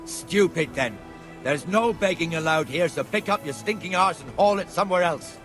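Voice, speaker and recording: An actor playing a blunt, gruff, conversational town guardsman in a video game. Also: on the high side, moderate pace, music in the background, natural voice. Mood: scornful, angry. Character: gruff town guardsman, chatty, contemptuous of beggars.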